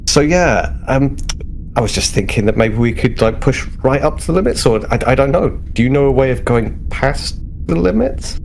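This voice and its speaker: A man's voice: medium pitch, expressive, normal speed, a little mellow, rough recording. A man voicing a cocky, eager starship security officer in a tabletop game, speaking casually and repeating himself. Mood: tentative. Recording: clear background noise.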